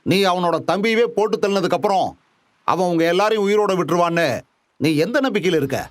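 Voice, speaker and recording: A man's voice: high-pitched, fast, no room sound, clean studio sound, close to an everyday voice. An actor dubbing a scheming boss who talks down menacingly to a hired man. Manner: menacing, condescending.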